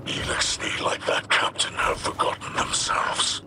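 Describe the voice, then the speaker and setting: An actor playing a brooding, haunted alien warrior captain in a video game, solemn and formal with a gravelly, breathy rasp. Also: male voice, very noisy, close to an everyday voice. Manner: solemn, formal, halting delivery. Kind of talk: dramatic video game acting.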